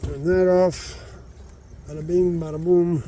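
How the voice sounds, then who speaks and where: A middle-aged man chatting informally to viewers in a rambling vlog, halting as he thinks aloud and repeating words for emphasis. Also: sits high, little pitch movement, warm tone. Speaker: vlogger, hobby rockhound.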